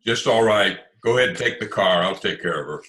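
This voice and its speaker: A man's voice: on the low side, animated, fairly quick, noisy background, natural voice. A man playing a tough, curt gangster boss in a 1940s-style radio crime comedy, sneering, slangy and commanding. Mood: impatient, bossy. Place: remote video-call performance.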